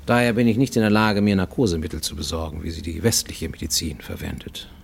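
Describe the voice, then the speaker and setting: An actor in a German radio play voicing a haunted middle-aged Japanese fugitive, formal and intense, sometimes dropping to a near whisper. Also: male voice, low voice, level tone, fast talker, vocal fry, husky, heavily acted. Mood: matter-of-fact.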